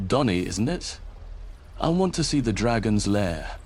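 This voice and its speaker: A man playing a steady, protective paladin hero in a fantasy game, with a measured, solemn manner. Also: on the low side. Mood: gentle. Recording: music behind the voice.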